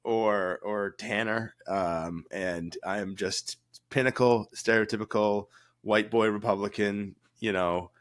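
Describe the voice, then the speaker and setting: A man with a cold, co-hosting a podcast in a low, mellow voice, joking and rambling with restarts. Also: steady tone, slow pace. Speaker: podcast co-host, former cruise director. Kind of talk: casual podcast chat.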